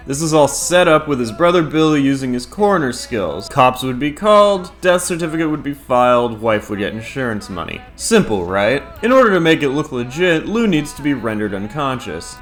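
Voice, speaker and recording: A man's voice: mid-range voice, fairly bright tone. A man hosting a scripted countdown review, talking casually and swearing freely. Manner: casual, profane. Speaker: video reviewer and host. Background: music.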